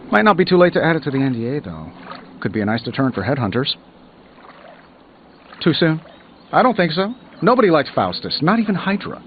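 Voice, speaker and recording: Cocky wisecracking genius superhero, game dialogue, slightly high voice, muffled with music behind. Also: male voice. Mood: sardonic. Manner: sarcastic, glib, wry. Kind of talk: video game dialogue, quippy banter.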